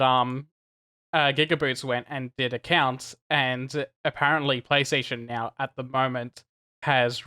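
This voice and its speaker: A man co-hosting a gaming podcast, lively and talkative, with a bright, forceful tone at a middling pitch. He restarts his sentences often and laughs between his points. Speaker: podcast co-host. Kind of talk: casual podcast chat.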